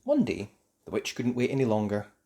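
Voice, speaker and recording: A children's story reader narrating a fairy tale. The delivery is theatrical and emphatic, with very lively rises and falls in pitch. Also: fairly quick. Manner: theatrical, emphatic for young listeners. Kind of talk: expressive scripted storybook narration.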